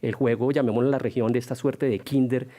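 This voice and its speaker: A man's voice: mid-range voice, fairly even intonation, dark tone. A man, a guest analyst on a television news panel, speaking in long discursive answers. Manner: rapid, discursive, with restarts. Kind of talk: debate commentary.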